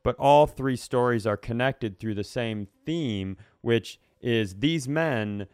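Smooth-voiced man rambling on a comedy podcast. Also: mid-range voice, slow pace, a little mellow, dry recording, clean studio sound. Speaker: podcast co-host. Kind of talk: casual comedic podcast chat.

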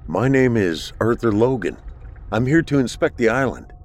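A man voicing a nervous, polite male inspector who stammers and pleads, a little higher than his own voice. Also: expressive, moderate pace, close to an everyday voice.

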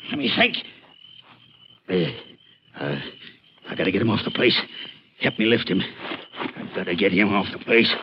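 An actor playing a violent, hot-tempered farmer in a 1950s radio drama, barking threatening orders. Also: male voice, deep voice, expressive, normal speed, long pauses. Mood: panicked, menacing. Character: violent, hot-tempered male farmer turned panicked killer. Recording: muffled, noisy old radio recording.

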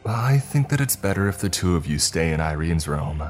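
A man voicing a steady, practical young woman who tries to calm others and takes charge. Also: deep voice, normal speed, soft background music.

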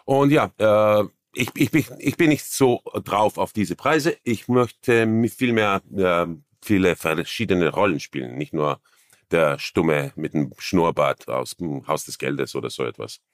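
Deep-voiced male actor guest with a slight Viennese accent, halting, searching for words, dry close mic. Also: dry recording. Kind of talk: casual interview chat.

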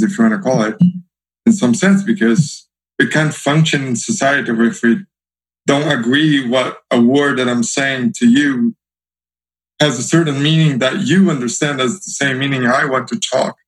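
Halting man. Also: mid-range voice, steady tone, rough recording. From Brazil. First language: Portuguese. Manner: thoughtful, halting, repeats words while searching. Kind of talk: casual group discussion.

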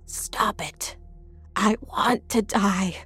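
A woman voicing a frightened, confused mother in an audio story, with a breathy, whispery, pleading delivery. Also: medium pitch, fairly bright tone, soft background music, pitched above the performer's own voice, full character voice. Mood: despairing dread. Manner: drawn-out, gasping, pleading. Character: frightened, confused mother gripped by night terrors.

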